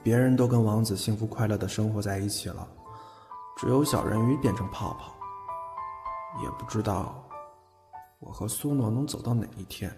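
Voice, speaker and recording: 一名男演员在广播剧里饰演一个甜蜜害羞、爱说话的年轻男友，语气真诚、带点孩子气和自嘲。. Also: male voice, on the low side, moderate pace, long pauses, natural voice. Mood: playful, earnest, then shy. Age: young adult. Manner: earnest, boyish, self-deprecating. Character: sweet, bashful, chatty young boyfriend in love. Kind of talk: Chinese audio drama acting. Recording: music under the voice. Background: background music.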